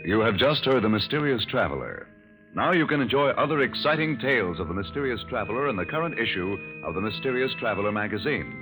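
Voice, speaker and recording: Low-voiced vintage radio announcer over music. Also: male voice, very animated, poor audio. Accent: American. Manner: formal, dramatic, measured. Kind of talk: 1940s radio announcing, scripted.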